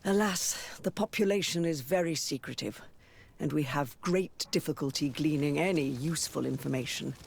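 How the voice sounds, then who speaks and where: An actor playing a dignified, pious high cleric in a video game, speaking formally and solemnly. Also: moderate pace, creaky, husky. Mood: grave, fervent.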